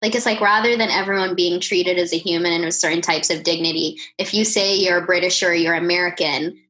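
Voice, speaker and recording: A woman's voice: lowish voice, fairly even intonation, brisk, a bit bright. A woman co-hosting a podcast, talking reflectively and earnestly in a casual conversation. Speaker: podcast co-host.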